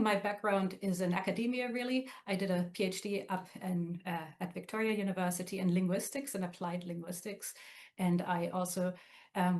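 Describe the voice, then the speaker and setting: A woman from Germany with a German accent, introducing herself as a trainer on a live video-call webinar in a relaxed, slightly academic way. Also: medium pitch.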